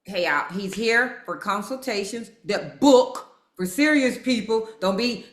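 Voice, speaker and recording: A woman co-hosting a casual interview, talking quickly and cheering her guest on with short affirmations. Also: mid-range voice, animated. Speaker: co-host. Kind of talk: casual conversation. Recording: roomy echoey sound.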